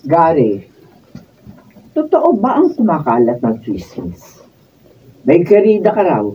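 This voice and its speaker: A Filipino woman acting an older, worried, image-conscious mother confronting her son in a radio drama, her voice husky. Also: deep voice, wildly swooping pitch, slow, heavily acted. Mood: stern, worried. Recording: muffled, thin phone-like sound, distant mic.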